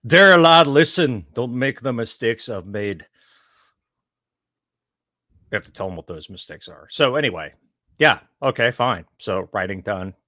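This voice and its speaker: A man with a Southern US accent co-hosting a podcast, talking in an animated, teasing way, swearing freely and laughing loudly. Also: medium pitch, a little slow, pauses often, no echo, clean studio sound. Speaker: podcast co-host. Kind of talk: casual chat.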